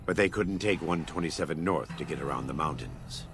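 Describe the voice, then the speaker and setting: An actor playing a devout, scarred former warlord in a video game, speaking in a solemn, measured, preacher-like way with a gravelly voice. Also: male voice, background noise. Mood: grave, reflective. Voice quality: very deep, gravelly. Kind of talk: video game character dialogue.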